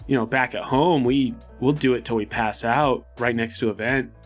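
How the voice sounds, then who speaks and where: A man's voice: mid-range voice, steady tone, normal speed, faint music behind. A man playing a tabletop RPG, improvising casually in character in a hesitant, rambling way. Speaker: player in a tabletop RPG podcast.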